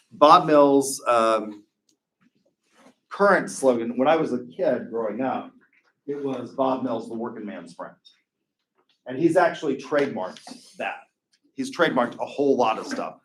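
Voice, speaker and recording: A man's voice: on the low side, slight background noise, poor audio. A middle-aged man, a marketing professor, giving a casual, rambling classroom lecture full of asides and restarts.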